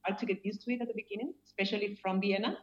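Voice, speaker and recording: A woman's voice: mid-range voice, steady tone. Woman guest, muffled call audio. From Chile. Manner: measured, with "uh" pauses and small non-native slips. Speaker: podcast guest, psychologist and expat coach. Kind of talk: interview answers.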